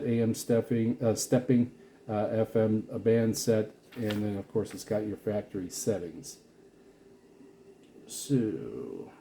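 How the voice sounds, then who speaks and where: A man giving a casual, rambling product walkthrough as a reviewer, speaking in a halting, explanatory way. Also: on the low side, dark tone. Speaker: radio reviewer and vlogger.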